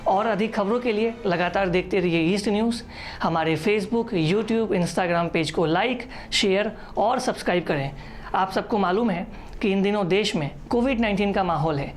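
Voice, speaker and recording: A woman's voice: mid-range voice, normal speed. A woman reading a scripted news explainer as a narrator. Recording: voice-over with music underneath. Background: background music.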